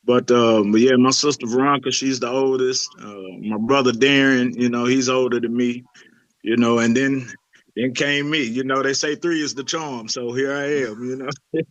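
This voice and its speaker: A man's voice: mid-range voice, fairly even intonation, normal speed, dry recording. Laid-back man, dull dry recording. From Lebanon. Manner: laid-back, many fillers and restarts, says "man" often. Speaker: youth pastor. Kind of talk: casual interview conversation.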